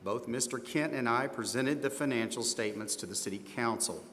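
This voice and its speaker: A man's voice: mid-range voice, brisk, close to an everyday voice. Echoey noisy hall, man acting as older male accountant, confident, defensive, scripted question-and-answer delivery. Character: older small-firm auditor, confident, defensive under questioning. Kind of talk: scripted role-play dramatization. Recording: echoey hall, steady background room noise.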